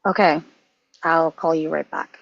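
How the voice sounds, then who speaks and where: A woman's voice: sits low, fairly even intonation, a little slow, gravelly, no room sound, lo-fi sound, exaggerated voice. A woman playing a cocky young American male field officer in a film script table read, casual and offhand with authority. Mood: officious.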